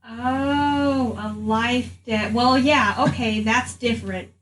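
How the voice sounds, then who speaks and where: A woman's voice: on the high side, slow, fairly bright tone. A woman voicing a flippant, self-interested magic item with a casual, sardonic manner. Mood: dismissive. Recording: noisy, echoey room, distant mic.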